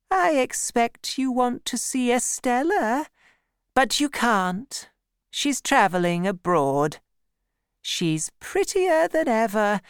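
A reader voicing a bitter elderly rich woman who mocks a boy in a thin, high, teasing voice, in a storybook reading. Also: smooth, no room sound, clean studio sound.

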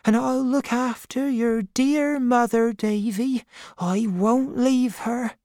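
A woman voicing a devoted, humble servant woman with a hushed, earnest, affectionate manner in a storybook. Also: fairly high pitch, steady tone, takes it slowly, no room sound, studio quality, pitched above the performer's own voice. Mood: tender, earnest.

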